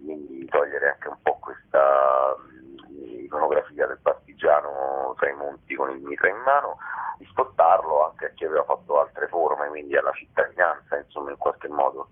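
A man giving halting, explanatory interview answers over a telephone, often pausing mid-sentence. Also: extremely low pitch, husky. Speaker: theatre author and actor, interview guest. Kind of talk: informal radio interview answers. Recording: tinny, narrow phone line.

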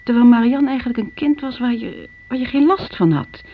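An actress playing a middle-aged mother in a Dutch radio drama, looking back on her daughters in a measured, worried, exasperated way. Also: on the high side, expressive. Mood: exasperated, fond. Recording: muffled, noisy old radio recording.

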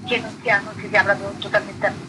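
A woman being interviewed on radio as a comedy guest, in a mid-pitched voice. She talks informally and hesitantly, feeling for the right words, with clear background noise on the recording. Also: little pitch movement, brisk. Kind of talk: spontaneous conversational radio interview.

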